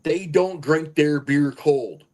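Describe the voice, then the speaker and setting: A man's voice: a little high, slow, darkish tone. A man bantering casually as a panelist among friends. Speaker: show panelist.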